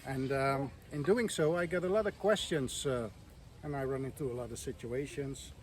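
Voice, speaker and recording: A man's voice: medium pitch, normal speed. A man from the Netherlands who builds villas, giving casual to-camera advice in a plain, hesitant way with many ums.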